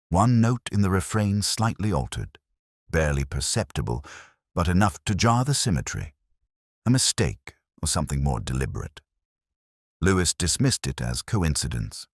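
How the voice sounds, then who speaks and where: Very deep-voiced man narrating detective fiction. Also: no room sound, clean studio sound. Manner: literary, measured, brooding. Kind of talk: scripted first-person detective mystery narration.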